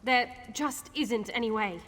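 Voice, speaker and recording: An actress playing an earnest, hesitant, war-weary mage survivor in a fantasy video game. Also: female voice, fairly high pitch, unhurried, fairly bright tone. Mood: disbelieving. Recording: long hall reverb.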